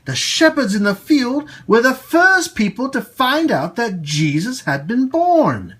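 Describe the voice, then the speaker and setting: A man hosting storytime for children, reading and talking to them in an expressive, emphatic way. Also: very high-pitched, breathy edge. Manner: animated, slow, emphatic delivery for young children. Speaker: storytime host and children's teacher.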